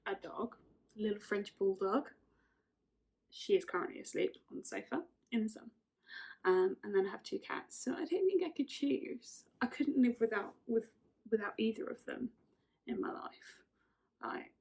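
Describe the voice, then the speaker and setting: A woman's voice: mid-range voice, gravelly, darkish tone, breathy edge, low-quality recording. A woman in her twenties, chatting casually and rambling through get-to-know-me questions, often correcting herself. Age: 20s. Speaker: vlogger.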